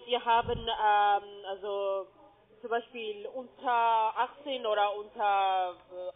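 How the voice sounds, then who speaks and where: A woman's voice: mid-range voice, fairly even intonation. A woman is heard through a thin telephone line. She speaks with a foreign accent and halts often, questioning a customer as a call centre agent. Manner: hesitant, halting. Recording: tinny phone line.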